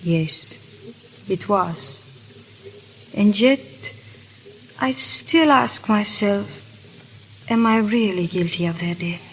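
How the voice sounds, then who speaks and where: A woman's voice: mid-range voice, slow pace, long pauses. A woman playing a proud, guilt-ridden aristocratic wife in a theatrical, urgent 1930s radio drama style. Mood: remorseful, distressed. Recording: crackly, hissy 1930s radio recording.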